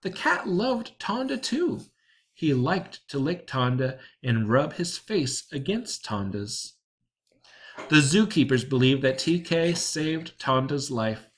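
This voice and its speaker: Picture-book narrator, mid-pitched voice with lots of melodic variation, expressive storytelling delivery. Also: slow pace. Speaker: story reader. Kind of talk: children's picture book read aloud.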